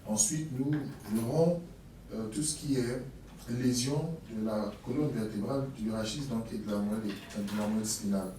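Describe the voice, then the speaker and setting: A man's voice: fairly low pitch, normal speed, warm tone. A man moderating a course, introducing the program with hesitant fillers. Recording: distant mic in an echoey hall with faint noise.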